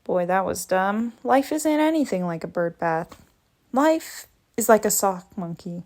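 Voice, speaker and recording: A woman's voice: medium pitch, animated, measured pace, a little mellow. A woman reading aloud as a lazy, sarcastic male cartoon cat, with dry, wisecracking, grumbling lines. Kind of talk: storybook read-aloud.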